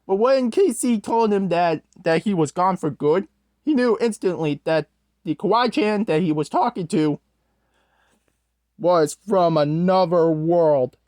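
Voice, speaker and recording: Slow, halting storyteller, very dry sound. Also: mid-range voice, dark tone, no room sound. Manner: halting, corrects own wording mid-sentence. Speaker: host, storyteller. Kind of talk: creepypasta storytelling narration.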